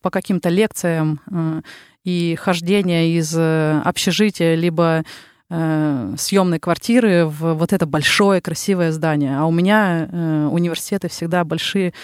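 A woman in her thirties from the Urals with a Ural Russian accent, a psychologist talking slowly and reflectively as a podcast guest, with frequent filler sounds. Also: low voice, fairly even intonation, darkish tone, smooth, no room sound, studio quality. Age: 30s. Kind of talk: casual interview talk.

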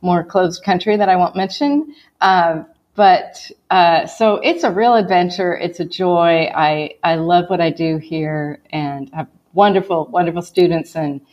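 A woman's voice: fairly low pitch, a little slow, highs cut off. A woman guest in an interview, speaking reflectively in a slightly growly morning voice. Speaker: guest, preaching professor. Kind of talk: conversational interview answers.

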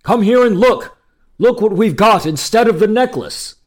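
Man acting an excitable, animated storybook king. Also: medium pitch, normal speed, natural voice. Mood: thrilled. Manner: exclamatory, emphatic. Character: excitable, hearty storybook king longing for a son.

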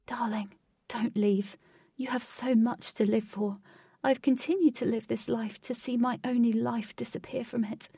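Lady doing a weepy grieving dad in an audiobook, hushed breathy pleading. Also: on the high side, steady tone, normal speed, dry recording, clean studio sound, recorded from a distance, pitched above the performer's own voice. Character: tearful grieving father pleading softly with his daughter.

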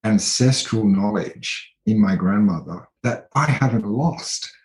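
An Australian man in his thirties speaks as an interview guest, thoughtful and unhurried, pausing often with fillers and restarts. His voice sits fairly low. The room around him is echoey and roomy. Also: lively intonation. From country New South Wales, Australia. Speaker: interview guest, artist and researcher. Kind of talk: reflective conversational interview.

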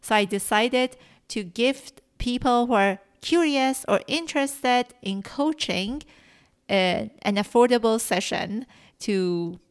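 A woman whose first language is Farsi, hosting a solo coaching podcast in an earnest, measured teaching tone with soft whispered stresses. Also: on the high side, smooth. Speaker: life coach and podcast host.

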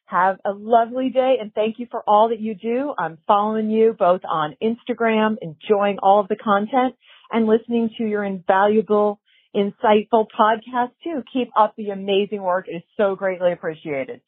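A woman's voice: mid-range voice, normal speed, breathy edge. A woman in her forties leaving a polite, articulate question as a caller. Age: 40s. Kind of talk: recorded voicemail question. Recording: phone line.

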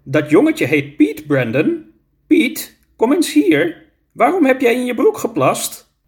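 A man's voice: very high voice, very animated, takes it slowly, pitched above the performer's own voice, heavily acted. A man voicing a patient, matter-of-fact non-human teacher of young children in a storybook. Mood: patient, instructive.

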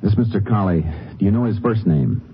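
An actor playing a calm, courteous Texas Ranger, laconic and matter-of-fact, in a 1950s radio western. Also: male voice, fairly low pitch, a little fast, close to an everyday voice. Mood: calm, inquiring. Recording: muffled, noisy old radio recording.

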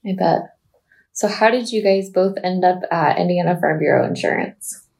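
A woman's voice: lowish voice, moderate pace, creaky, darkish tone. A woman who is a marketing coordinator, hosting a podcast interview in a friendly way, with frequent fillers.

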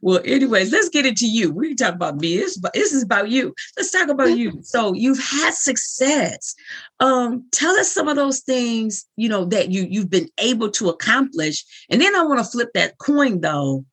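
A woman's voice: a little high, big pitch swings, normal speed, a bit bright, husky. A woman in her late forties from the United States, hosting a live podcast interview, effusive and chatty with frequent laughs. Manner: effusive, laughs often, rambling restarts. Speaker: host.

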